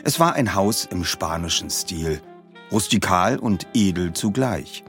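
A man narrates a detective story in a fairly low voice. His delivery is measured and clearly articulated, with expressive melody and faint music.

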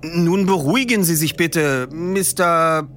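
A level-headed teenage boy detective speaks politely and to the point, as a male reader voices him. His voice sits a little higher than a grown man's and stays composed. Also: slow, a bit bright, soft background music.

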